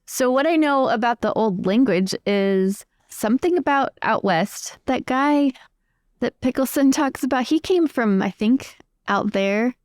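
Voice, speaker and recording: A woman's voice: on the high side, lively intonation, measured pace, dry recording, studio quality. A woman playing a tabletop roleplaying game, improvising in a casual, chatty way. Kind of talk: casual improvised in-character chat.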